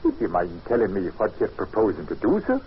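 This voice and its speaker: A scottish foreman, loyal practical type, pitch all over the place, muffled old radio sound. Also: wildly swooping pitch, fairly quick. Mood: dutiful, wary. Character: loyal, practical, God-fearing Scottish male farm foreman. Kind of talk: old-time radio drama acting. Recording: muffled old radio recording, faint noise.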